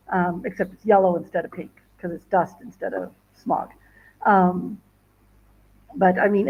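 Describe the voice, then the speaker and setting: A woman's voice: mid-range voice, breathy edge, phone-quality sound. A woman vlogger chatting casually to viewers from her home, rambling with many fillers and restarts. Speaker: travel vlogger. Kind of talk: casual livestream chat. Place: home in northern Ghana.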